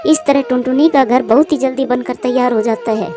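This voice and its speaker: A woman's voice: sits high, brisk, over music. A woman reading scripted narration for a children's moral story.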